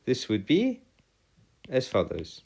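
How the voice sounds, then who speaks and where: A man tutoring a math and physics lesson in formal, old-fashioned wording, often halting and correcting himself. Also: medium pitch, huge pitch swings, creaky, a little mellow, husky.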